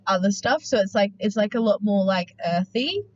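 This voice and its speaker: A woman's voice: medium pitch, expressive, normal speed, darkish tone, highs cut off, slight background noise. A woman with a New Zealand accent co-hosting a podcast, rambling casually with lots of fillers and giggles. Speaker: podcast co-host. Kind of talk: casual chat.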